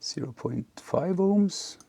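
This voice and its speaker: A man giving casual, unscripted hands-on narration as an electronics hobbyist, halting and half talking to himself as he works. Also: high voice, lively intonation, creaky, warm tone. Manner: halting, muttering to himself while working.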